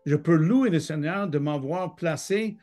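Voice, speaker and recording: Slow-talking American man on a video call. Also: fairly high pitch, mellow, faint music behind. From Michigan, United States. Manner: hesitant, many "euh" fillers. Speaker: guest. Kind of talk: testimony interview.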